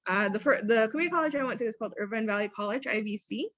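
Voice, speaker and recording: A woman who is a university counselor, answering student interview questions in a chatty, lively way and often correcting herself. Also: on the high side, rapid-fire, dry recording, muffled recording.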